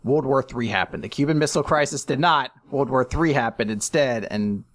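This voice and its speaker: A man's voice: medium pitch, brisk, fairly bright tone, no echo, clean studio sound. A man co-hosting a podcast, chatting casually with wry, sarcastic asides and casual swearing. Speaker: podcast co-host. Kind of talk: casual chat.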